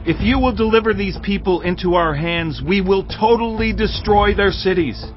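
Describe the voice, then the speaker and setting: A man's voice: high-pitched, normal speed, highs cut off, faint music behind, lightly acted. An actor playing a fervent Israelite man who declaims with passion in a dramatized audio Bible. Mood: fervent resolve. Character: fervent male Israelite vowing and singing out.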